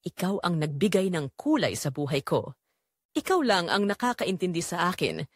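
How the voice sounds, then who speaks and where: A woman's voice: lowish voice, big pitch swings, moderate pace, husky, dry recording, clean studio sound. A woman voicing a desperate, pleading married man in love in an audio drama, with a trembling delivery. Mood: desperate devotion.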